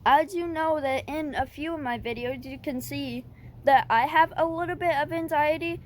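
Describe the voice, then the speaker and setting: A woman vlogger giving casual advice, talking in a halting, hesitant way with stutters and restarts. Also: high-pitched, slight background noise. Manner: hesitant and plain-spoken.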